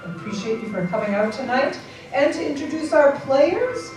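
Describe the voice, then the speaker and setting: A woman's voice: high voice, animated, normal speed, recorded from a distance. A woman making a live curtain-call announcement as she introduces a cast. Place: live theatre. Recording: echoey hall, loud music and crowd.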